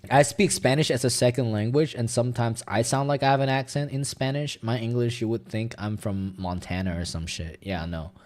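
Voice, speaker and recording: A man's voice: mid-range voice, fairly quick, few pauses, a little mellow. A young man whose first language is Mandarin, chatting loosely and profanely while reacting on a stream. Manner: rambling, profane, filler-heavy. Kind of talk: casual reaction stream chat.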